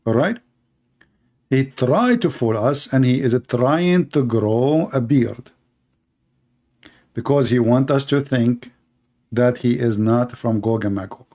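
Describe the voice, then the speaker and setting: A man's voice: mid-range voice, expressive, takes it slowly, pauses often, dark tone. A man, hosting a commentary show and mocking video clips in a sarcastic, rambling way with many fillers.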